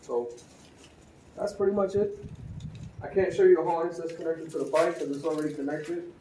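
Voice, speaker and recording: A man's voice: on the high side, normal speed, dark tone, recorded from a distance, a little hiss or hum. A man giving a casual, conversational gear review as a motorcycle vlogger, with easy fillers and restarts. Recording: roomy, from a bit off the mic, faint ambience.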